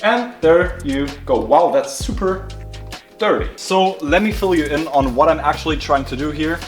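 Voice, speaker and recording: A man's voice: on the high side, normal speed, over music. A man with a German accent, a native German speaker, chatting casually to camera as a car build vlogger who laughs at himself. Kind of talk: casual vlog narration. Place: car workshop.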